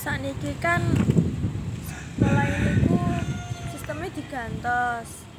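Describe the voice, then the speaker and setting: A woman's voice: high voice, slow. A young woman with a Javanese accent playing a dutiful, timid schoolgirl daughter, speaking politely and deferentially to her father on an outdoor porch. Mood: pleading. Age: young adult. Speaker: student theater actress. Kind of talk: amateur short film acting. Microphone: far camera. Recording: loud outdoor noise.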